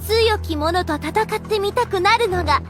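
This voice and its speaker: A woman's voice: very high voice, moderate pace, music in the background. A woman voicing a sly, coquettish vampire girl in an anime audio drama, coaxing in affected archaic courtesan speech.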